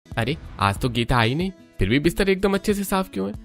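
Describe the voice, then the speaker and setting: A man voicing a trusting, puzzled young neighbour in a cartoon, expressive in manner. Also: a little high, fairly quick, soft background music. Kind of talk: cartoon voice acting.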